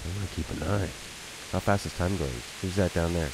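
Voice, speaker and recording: Very deep-voiced man streaming a game. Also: brisk, background noise. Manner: rambling, joking, thinks aloud. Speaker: let's play streamer. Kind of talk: casual gameplay commentary. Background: game music.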